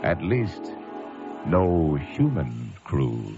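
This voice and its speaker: A haunted man delivers a radio drama monologue in a deep, modulated voice. He is grave and ominous, with music behind the slightly muffled sound. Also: sing-song, very slow, vocal fry, heavily acted. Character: haunted man recalling an eerie sea mystery.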